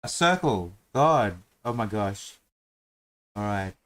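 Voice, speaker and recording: A man's voice: fairly low pitch, expressive, slow pace, fairly bright tone. A man hosting a casual chat while drawing a dungeon map, talking in a playful, rambling, self-mocking way.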